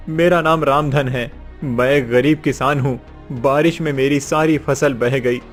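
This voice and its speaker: A man voicing a poor, honest farmer in a moral-story cartoon, with a humble, earnest manner. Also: a little high. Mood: humble, anxious.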